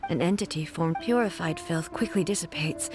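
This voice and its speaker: A woman playing a noble, solemn warrior ruler in a video game, formal and measured, with music behind her. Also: fairly low pitch, natural voice. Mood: solemn, mournful.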